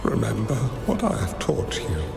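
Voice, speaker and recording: A man playing a wise, solemn old master in a video game, counseling a young pupil in a measured way. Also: on the low side, wildly swooping pitch, gravelly, heavily acted. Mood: solemn, gentle. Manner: slow, measured, solemn and gently instructive. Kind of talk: dramatic video game voice acting. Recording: echoey reverberant hall, music underneath. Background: music.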